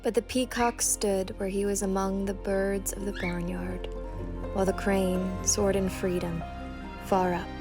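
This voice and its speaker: A woman's voice: medium pitch, level tone, unhurried, music in the background. A woman reading a fable in a storybook narration style.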